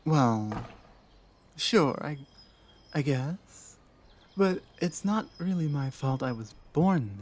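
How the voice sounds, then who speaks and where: A woman acts out a lost female orc in an intimate audio roleplay. The orc speaks slowly and hesitantly, tripping over restarts. She is timid and guarded, an outcast wary of strangers. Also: sits low, darkish tone, heavily acted. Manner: hesitant, halting, with many stammered restarts. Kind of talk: roleplay audio drama, ASMR.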